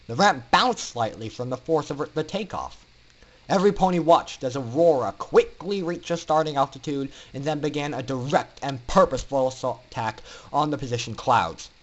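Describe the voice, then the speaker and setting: A man narrating a story in a theatrical, emphatic style with dramatic pauses. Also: fairly high pitch, low-quality recording. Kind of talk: dramatic fan-fiction story reading.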